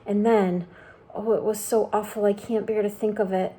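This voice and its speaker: A tender, nervous storybook spider, motherly and quick to fear the worst, as a woman reads her. Her voice is mellow and warm in tone. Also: medium pitch, steady tone, lightly acted. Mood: sorrowful. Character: anxious motherly female spider.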